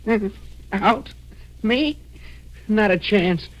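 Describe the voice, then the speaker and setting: An actor playing a frightened, suspicious grown son in hiding, in 1940s radio drama style. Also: male voice, high voice, lively intonation, very slow, full character voice, rough recording. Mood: wary, fearful.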